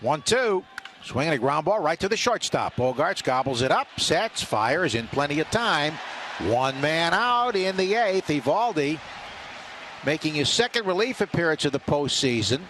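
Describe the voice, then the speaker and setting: A man doing live radio baseball play-by-play, talking conversationally with hesitant pauses between calls. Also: fairly high pitch, sing-song. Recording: radio broadcast with clear stadium noise. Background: outdoor ballpark ambience.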